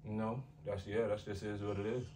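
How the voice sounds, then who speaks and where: A young American man with a low, easy voice talks in a relaxed, slangy way, full of "like" and "you feel me." He sounds set back from the mic, and a faint hiss of room noise sits behind him. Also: brisk, distant mic. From United States. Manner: laid-back, slangy, many "like" and "you feel what I'm saying". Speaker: rapper being interviewed. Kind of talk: casual interview talk.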